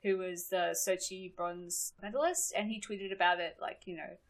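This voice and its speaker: A woman's voice: lowish voice, steady tone, normal speed. A woman in her thirties with an Australian accent, a guest chatting on a podcast and often laughing. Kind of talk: casual podcast chat.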